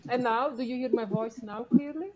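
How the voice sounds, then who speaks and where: A woman's voice: a little high, moderate pace, crowd noise. A woman from Belgium chatting casually on a livestream, hesitant and searching for words, picked up by a computer mic. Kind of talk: casual group livestream chat. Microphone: computer mic some distance away. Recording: faint noise, computer mic at distance.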